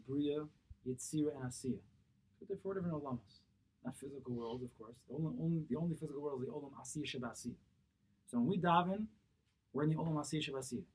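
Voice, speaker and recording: Husky-voiced rabbi teaching a class. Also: male voice, medium pitch, poor audio. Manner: questions and answers himself, repeats key phrases. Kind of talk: Torah class, explaining a text. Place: small synagogue study room, seated at a table. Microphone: camera a few feet away across the table.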